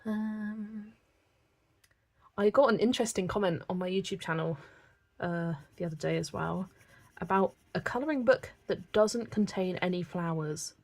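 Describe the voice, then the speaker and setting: A woman's voice: medium pitch, normal speed. A woman from England, chatting casually and reading viewer comments while she colours on a livestream. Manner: chatty, relaxed, thinking aloud with small laughs.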